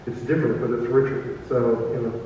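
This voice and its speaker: A man talking casually on a convention panel in a halting, easygoing way. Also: medium pitch, steady tone, mellow, slight background noise. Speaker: comics creator and panelist. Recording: dull, very echoey hall, distant mic.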